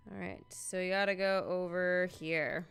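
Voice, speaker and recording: A woman's voice: on the low side, fairly even intonation, measured pace, creaky, a bit bright, clear, resonant tone, soft background music. A woman streamer chatting casually and thinking aloud while she plays a game live.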